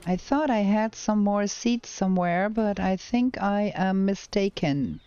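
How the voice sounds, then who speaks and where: German-accented woman streamer, unhurried, smooth clear voice on dull lo-fi audio, chatty gameplay commentary. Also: mid-range voice, dark tone, no room sound. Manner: slow, thinking aloud while playing. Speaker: game streamer. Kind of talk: casual gameplay commentary with chat replies.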